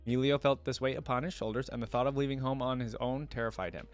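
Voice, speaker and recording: A man's voice: mid-range voice, fast talker. Casual male reaction video host, music behind. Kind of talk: casual commentary, reading a story aloud and reacting.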